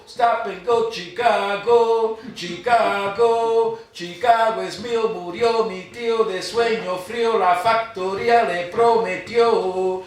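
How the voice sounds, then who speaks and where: High-voiced man reading poetry in echoey room. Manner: informal, self-deprecating, rhythmic delivery. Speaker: poet. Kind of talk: live poetry reading with casual introductions. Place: live event room in Chicago. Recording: distant mic, very echoey noisy room.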